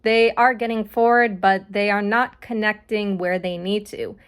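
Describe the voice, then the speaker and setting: A woman's voice: medium pitch, fairly even intonation, clean tone. A young woman hosting her own sports podcast, casually reviewing a soccer match with many fillers, her voice still recovering from an illness. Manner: casual, filler-heavy delivery, reads lineups quickly. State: recovering from voice loss.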